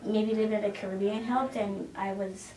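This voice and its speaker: A woman in her thirties from Jamaica, a guest calmly telling her own story in an interview. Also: mid-range voice, normal speed. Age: 30s. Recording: roomy sound, distant mic, faint noise.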